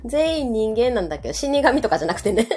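A woman's voice: on the high side, very animated, fast, crowd noise. A middle-aged woman with a Kansai accent, talking chattily and informally as a podcast co-host. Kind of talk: casual chat on a live podcast. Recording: background music under the talk.

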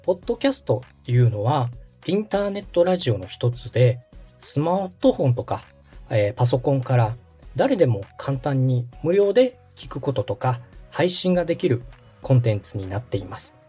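A man's voice: mid-range voice, very animated, normal speed, mellow, husky, soft background music. A man in his thirties from Osaka hosting a podcast, explaining things politely, patiently and step by step. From Osaka, Japan. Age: 30s. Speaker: podcast host, radio director.

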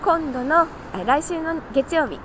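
A woman chatting casually on her own like a morning podcast host, talkative and quick to laugh. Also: high-pitched, noisy background. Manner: chatty, laughs easily while talking. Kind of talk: casual solo morning chat.

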